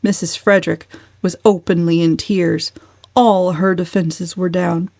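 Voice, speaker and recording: A woman's voice: on the low side, steady tone, unhurried, gravelly, a little mellow, no room sound. A woman narrating an audiobook as a scripted dramatic reading.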